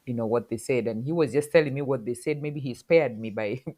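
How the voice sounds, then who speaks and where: A middle-aged woman from Kenya, speaking as a podcast guest in a reflective, halting way full of fillers. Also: a bass voice, warm tone. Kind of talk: casual interview talk.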